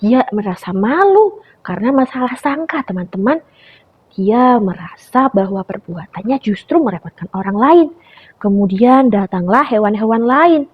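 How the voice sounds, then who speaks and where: A young woman tells a story to small children in a bright, lively voice with lots of pitch swings. Her voice is a little breathy, and the recording sounds dull and lo-fi. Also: moderate pace. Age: young adult. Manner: animated, calls listeners "friends".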